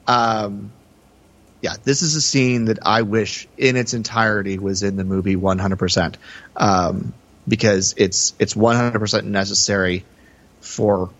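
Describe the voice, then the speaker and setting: Lower-voiced man, slightly dull audio. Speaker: podcast co-host. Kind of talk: casual film discussion.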